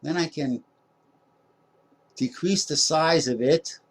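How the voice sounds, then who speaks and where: A man casually narrating a screen-recorded software tutorial, rambling with many fillers and small jokes about himself. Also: fairly high pitch, takes it slowly. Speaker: tutorial host.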